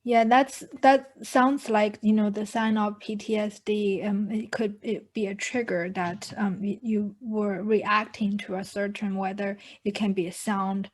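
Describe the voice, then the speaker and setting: A woman's voice: mid-range voice, steady tone, measured pace, hardly any pauses. A woman, hosting an interview over a video call and speaking earnestly with many fillers and restarts. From China. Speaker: host. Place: home room with pictures on wall. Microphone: video call, earphone mic.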